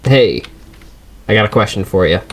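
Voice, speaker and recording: A man's voice: on the low side, expressive, normal speed, slight background noise. A man in casual, easygoing chat with a fellow player during a game.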